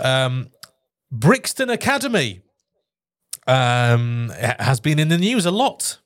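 A man with a fairly high, very lively voice that swoops up and down as he hosts a relaxed chat. He leads from one topic to the next and laughs along freely. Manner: steers topics, laughs often, expressive. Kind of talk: casual podcast chat.

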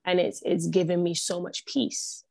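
A woman's voice: fairly low pitch, flat delivery, moderate pace, gravelly, a little mellow, no room sound. A young woman, a podcast guest whose first language is not English, speaking earnestly and repeating phrases for emphasis. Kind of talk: reflective podcast conversation.

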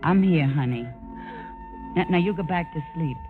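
A woman's voice: sits low, normal speed, close to an everyday voice. A woman playing a blunt, wisecracking, big-hearted nurse in a 1950s radio drama. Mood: firm, comforting. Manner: blunt, slangy, reassuring. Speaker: actress and narrator. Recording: muffled old radio recording, music behind.

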